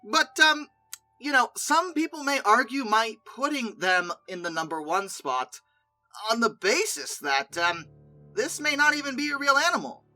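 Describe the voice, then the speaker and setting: An expressive video host with a bright, forceful voice and very lively intonation. The delivery is informal and chatty commentary, with opinionated, exasperated asides and mock disbelief. Music plays faintly behind the voice. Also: mid-range voice, unhurried, poor audio.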